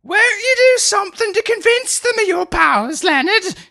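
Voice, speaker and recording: A man voicing an excitable elderly aunt in a high, shrieking falsetto. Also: moderate pace, gravelly, full character voice. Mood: pleading.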